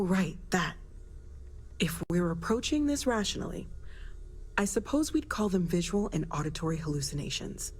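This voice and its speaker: A woman's voice: lowish voice, darkish tone. An actress voicing a friendly, thoughtful young woman scientist in a video game, earnest and a little awkward. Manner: earnest, slightly awkward, tentative. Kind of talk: scripted video game dialogue. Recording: clear background noise and ambience.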